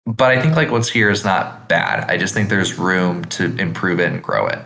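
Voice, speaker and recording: A man's voice: sits low, moderate pace, creaky, bright, forceful tone, reverberant. A man co-hosting a podcast, giving casual, conversational feedback. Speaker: podcast co-host.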